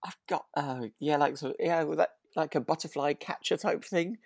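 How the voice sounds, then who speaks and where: A British man co-hosting a relaxed, casual chat, speaking hesitantly and in a gently encouraging way. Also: a little high, normal speed, creaky, no room sound, clean studio sound. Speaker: podcast co-host.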